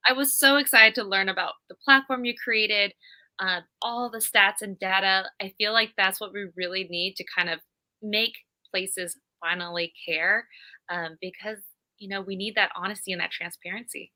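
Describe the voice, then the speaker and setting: A woman with a Californian accent speaks as an interview guest. Her voice is strikingly bright and forward, at a medium pitch, and she talks in a casual, conversational style. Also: fairly even intonation, normal speed, low-quality recording. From California, United States. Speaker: interview guest, creative director.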